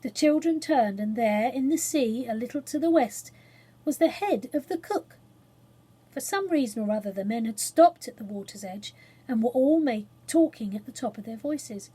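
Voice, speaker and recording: Female narrator for children's fiction: expressive read, higher register, faintly husky. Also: moderate pace, poor audio. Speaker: storyteller.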